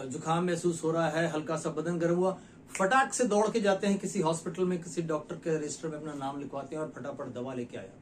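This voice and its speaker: A man from India with a fairly high voice, hosting his own health channel. He talks earnestly and persuasively to his viewers, pausing between phrases and asking rhetorical questions. A faint hiss sits under his voice. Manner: addresses viewers directly, with many rhetorical questions. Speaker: nutrition educator and channel host. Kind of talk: explanatory talk to camera.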